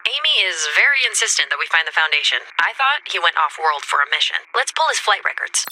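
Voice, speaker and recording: A woman playing a serious, determined sci-fi resistance fighter in a video game, brisk and commanding. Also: on the low side, big pitch swings, music in the background, low-quality recording. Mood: concerned, investigative. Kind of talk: video game character voice acting.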